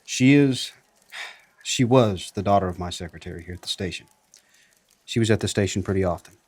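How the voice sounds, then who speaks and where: A man playing a courteous, measured late-night soul radio DJ in a radio drama. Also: low-pitched, a little mellow, dry recording. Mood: guarded, somber.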